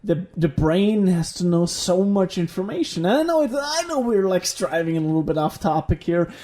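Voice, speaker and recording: A man whose first language is Danish, co-hosting a casual podcast chat, talking haltingly with many fillers and restarts. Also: high voice, lively intonation, warm tone. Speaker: podcast co-host.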